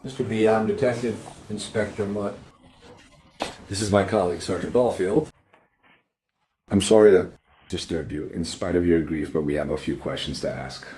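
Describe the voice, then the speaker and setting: Radio crime drama: male actor as dry, questioning police inspector, low register, measured delivery, some ambient noise behind. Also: mellow, natural voice, low-quality recording. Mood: polite, sober. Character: male detective inspector, probing, dry, world-weary. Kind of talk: crime radio play acting.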